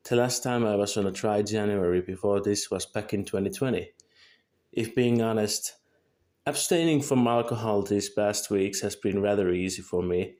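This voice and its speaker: A man's voice: on the low side, darkish tone. Young Finnish man reading a script. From Finland. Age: early 20s. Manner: careful, measured reading with self-corrections. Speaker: video creator.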